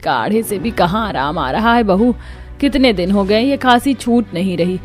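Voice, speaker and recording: A woman's voice: medium pitch, expressive, normal speed, soft background music, close to an everyday voice. A woman voicing an ailing, devout old mother-in-law in a cartoon, weary and plaintive but stubborn. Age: older.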